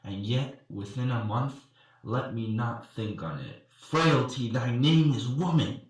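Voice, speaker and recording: A man performing a grieving, disgusted young prince in a Shakespearean soliloquy, with weighty theatrical delivery and long pauses. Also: mid-range voice, animated, pitched above the performer's own voice. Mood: anguished disgust. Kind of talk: Shakespearean dramatic monologue. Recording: very echoey, distant from the mic.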